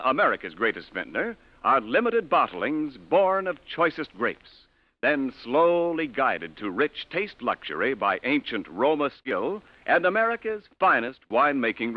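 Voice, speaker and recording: A man's voice: mid-range voice, dry recording. A man reading a scripted 1940s-style radio commercial as a polished, formal announcer. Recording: muffled vintage radio recording.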